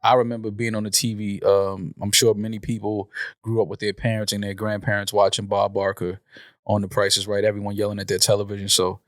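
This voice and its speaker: A man's voice: on the low side, flat delivery, fairly quick, a bit bright, no room sound. An American man around forty, hosting a casual podcast discussion. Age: 30s or 40s. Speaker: podcast host.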